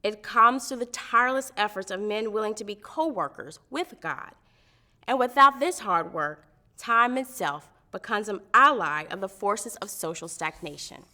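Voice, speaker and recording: A woman's voice: mid-range voice, expressive, moderate pace, sharp tone. A woman reading a historic letter aloud with earnest, emphatic, oratorical phrasing. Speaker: reader at a commemorative public reading.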